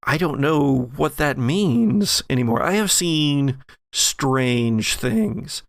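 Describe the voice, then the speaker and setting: A man plays an ex-FBI scholar of the occult in a tabletop horror game, knowing and offhand. His voice is very gravelly and creaky, with halting explanations and a close, dry sound. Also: medium pitch, expressive, dry recording. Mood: awed.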